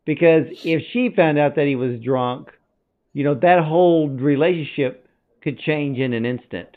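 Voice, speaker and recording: A man's voice: fairly high pitch, normal speed. A man from the United States hosting an interview, asking long rambling questions. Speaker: interviewer, host. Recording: tinny phone-like line.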